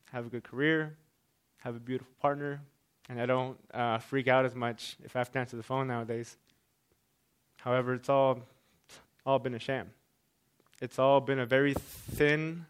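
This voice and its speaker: A man's voice: medium pitch, clear, resonant tone. A man who stutters gives a personal talk to an audience, often repeating sounds and restarting his words. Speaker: speaker giving a stage talk.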